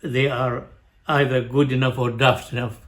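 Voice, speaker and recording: An older man with an Arabic accent recalls his school days at a mid pitch, slow and unhurried. He stops often, restarts his sentences, and chuckles along at his own story. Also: level tone. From Jordan. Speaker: family show guest, father. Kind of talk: storytelling, casual family chat.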